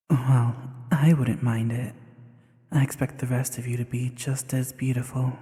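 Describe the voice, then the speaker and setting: A very dark, mellow male voice with long reverb around it , a man acting as a sly evil sorcerer in a roleplay audio drama. He is teasing and self-assured, speaking intimately to one listener. His low-key delivery lingers on words, unhurried and smooth. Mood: seductive, teasing.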